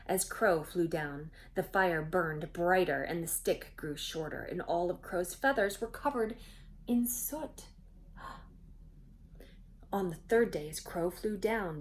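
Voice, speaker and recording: A woman reading a storybook aloud to children, expressive and phrase by phrase, with faint background noise. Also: on the low side. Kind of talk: children's storybook read-aloud with chatty asides.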